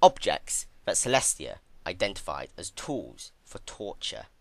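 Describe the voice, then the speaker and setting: Deep-voiced man narrating dramatically. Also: very animated, measured pace, fairly bright tone, dry recording. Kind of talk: dramatic scripted narration of dark fan fiction.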